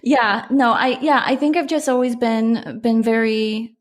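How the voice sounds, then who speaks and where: A woman's voice: on the high side, steady tone, a little slow. A woman from Poland, a startup founder, talking reflectively in a casual interview with frequent restarts and fillers.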